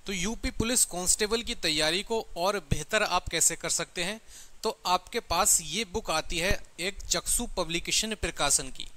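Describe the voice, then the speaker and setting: Male presenter, high pitch, bright forceful tone, informal product pitch, repetitive check-ins. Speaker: presenter promoting exam study books. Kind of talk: informal promotional product walkthrough.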